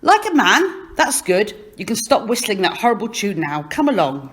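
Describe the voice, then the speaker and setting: A woman voicing a brisk, bossy, mocking man of a circus tent in a children's storybook. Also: medium pitch, sing-song, pitched above the performer's own voice, lightly acted.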